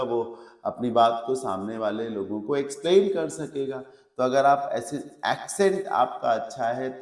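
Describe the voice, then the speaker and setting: Male teacher, slow measured delivery, strings of synonyms, checks in with students, echoey room, mic set back. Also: mid-range voice, a little mellow, distant mic. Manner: explains each word in Hindi mixed with English terms, piling up synonyms; checks in with the class. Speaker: English teacher. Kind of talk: online vocabulary lesson.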